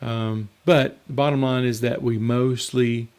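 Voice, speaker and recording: A man hosting a casual live Q&A as a mixing coach, slightly hoarse and rambling in a conversational way. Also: medium pitch, steady tone, measured pace, a little mellow. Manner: rambling and conversational, with frequent fillers and restarts. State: slightly hoarse, losing his voice. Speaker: mixing coach and show host.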